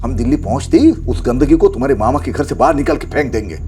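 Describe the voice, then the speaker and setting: A man's voice: medium pitch, fast, over music, lightly acted. A man playing a harsh older father, indignantly berating his son in a TV crime drama. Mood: outraged. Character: angry, scolding older father, harsh and disapproving. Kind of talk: Hindi TV crime drama acting.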